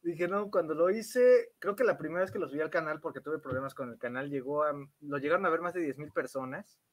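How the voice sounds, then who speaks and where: A man's voice: a little high, brisk, no echo. A man with a Mexican accent hosting a casual livestream and reading out comments. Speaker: livestream host, interviewer.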